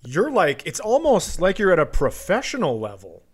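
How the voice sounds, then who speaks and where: A man hosting a casual comedy podcast, chatting in a teasing, deadpan way. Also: high voice, moderate pace, husky.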